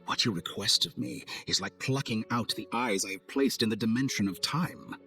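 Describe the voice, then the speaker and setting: Casting: coldly amused villain scientist, lowish voice, formal and theatrical, prominent game score underneath. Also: male voice, creaky, pushed and bright. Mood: intrigued, calculating. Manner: theatrical, condescending, measured and formal. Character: suave, arrogant male villain scientist, coldly amused schemer. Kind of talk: video game cutscene acting. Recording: studio voice over game music.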